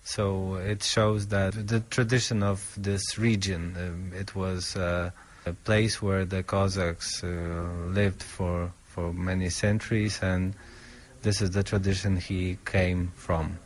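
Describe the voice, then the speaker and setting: A man's voice: low-pitched, level tone, slow. A man, answering as an interviewed author in hesitant, thoughtful English that often searches for words. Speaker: author interviewed about his book.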